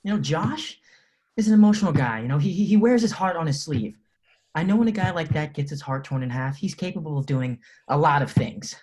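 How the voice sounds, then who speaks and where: An actor reading a protective, foul-mouthed high school best friend at a film table read. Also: male voice, animated, a little fast, darkish tone, close to an everyday voice. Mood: confiding.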